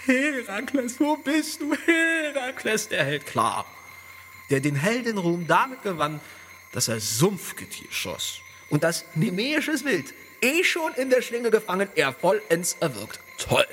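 A whiny, taunting tyrant from a radio play. The voice sits high and swoops up and down, dragging words out with a nagging edge. There is some noise in the home recording. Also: male voice, big pitch swings, bright, forceful tone, heavily acted. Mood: sneering, haughty. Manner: theatrical, over-stressed final syllables, drawn-out words. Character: Lykos, whiny, sneering, taunting male tyrant. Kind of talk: theatrical radio play acting. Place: home, online video call.

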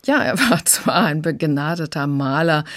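A woman's voice: deep voice, lively intonation, measured pace. A woman explaining and reviewing as a critic in a radio conversation.

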